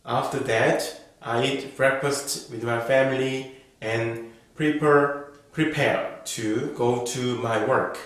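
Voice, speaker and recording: Slow, careful man in an echoey room. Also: medium pitch, steady tone, fairly bright tone. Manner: slow, careful, model-answer delivery. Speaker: IELTS speaking teacher. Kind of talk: reading sample exam answers as a lesson.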